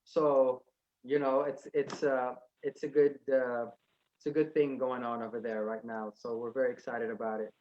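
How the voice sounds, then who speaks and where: A man from Sudan, raised in Los Angeles, speaking haltingly as a film producer in a panel discussion. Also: medium pitch, flat delivery, darkish tone. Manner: halting, searching for words. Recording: dull lo-fi sound.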